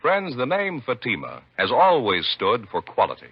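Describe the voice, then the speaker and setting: A man's voice: medium pitch, huge pitch swings, moderate pace, husky, no room sound. A man announcing on 1950s radio, reading scripted copy with emphatic, punchy phrasing. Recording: dull 1950s radio recording, music.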